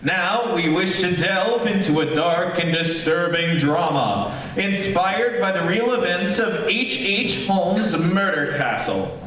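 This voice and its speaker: A man's voice: sits high, expressive, takes it slowly, fairly bright tone. A man announcing radio plays on a theater livestream with a scripted, dramatic introduction. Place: theater stage. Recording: echoey theater, distant mic, faint noise.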